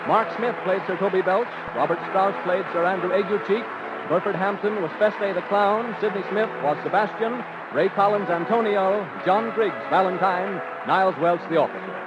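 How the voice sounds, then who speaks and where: A man's voice: high-pitched, normal speed, over a noisy crowd. A man announcing formally in a projected 1930s radio style. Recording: muffled noisy old radio broadcast.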